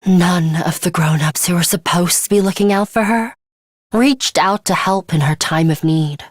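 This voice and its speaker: A woman's voice: fairly low pitch, moderate pace, no room sound, studio quality, close to an everyday voice. A woman voicing a cold, bloodthirsty young female fighter in an English video game, formal and menacing with theatrical flourishes. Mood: grave, measured.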